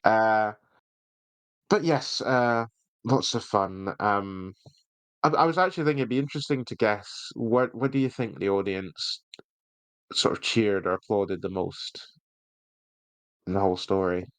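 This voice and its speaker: A man's voice: on the low side, unhurried, pauses often, clear, resonant tone, no echo. A man hosting a podcast, chatting casually and enthusiastically through a review with hesitant phrasing. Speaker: podcast host reviewing audio drama.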